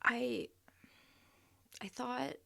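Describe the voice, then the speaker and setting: An actress playing an exasperated young girlfriend in an audio drama, speaking emotionally and haltingly, often dropping to a murmur. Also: on the high side, steady tone, creaky, pushed and bright, full character voice. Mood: hurt, frustrated. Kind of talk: naturalistic audio drama acting.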